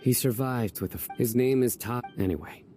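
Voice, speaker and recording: A man's voice: mid-range voice, fairly quick, natural voice. A man voicing a stern, stoic lawman in a video game, terse, grave and commanding. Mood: grave, measured. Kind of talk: dramatic video game dialogue. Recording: loud game music behind.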